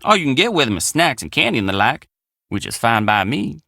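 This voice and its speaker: A man's voice: mid-range voice, big pitch swings, brisk, vocal fry, pushed and bright, no room sound, clean studio sound, full character voice. An actor playing a friendly, plainspoken young country handyman with an American drawl in a video game. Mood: easygoing, matter-of-fact. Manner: folksy, plainspoken country phrasing. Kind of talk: video game character dialogue.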